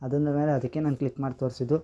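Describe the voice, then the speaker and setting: A man's voice: medium pitch, level tone, rapid-fire, mellow. A man giving a casual step-by-step app tutorial, often addressing viewers directly. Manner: repeatedly addresses viewers as "guys". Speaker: video tutorial host. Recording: slightly dull lo-fi audio.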